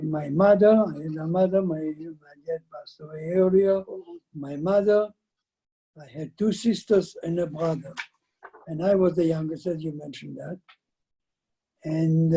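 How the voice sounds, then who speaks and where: An elderly man in his nineties tells his life story in an interview. His voice is very dark and husky, and he speaks slowly. He often halts and repeats words before finishing a thought. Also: on the high side, low-quality recording. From Lithuania. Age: 90s. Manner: halting, with repeated words and broken sentences. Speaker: guest, survivor giving testimony. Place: indoors at home, by shelves of framed photos. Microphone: video call, computer microphone.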